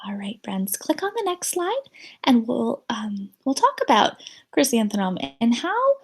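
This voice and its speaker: A woman's voice: fairly high pitch, sing-song, unhurried, breathy edge. A woman reading a children's picture book aloud expressively, as a teacher would for students.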